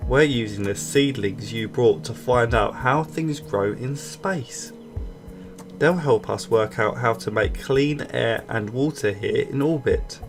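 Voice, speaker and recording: A man's voice: medium pitch, takes it slowly. A man voicing a friendly woman astronaut from a children's storybook, welcoming and explanatory as a guide. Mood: explaining. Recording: music bed behind voice.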